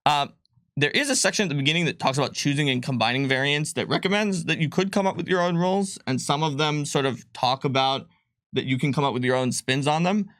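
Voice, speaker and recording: A man's voice: a little high, quick pace, fairly bright tone, dry recording. Casual, rambling man in a dry room. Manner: informal, rambling, jokey asides. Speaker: game designer answering viewer questions on a livestream. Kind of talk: casual livestream Q&A chat.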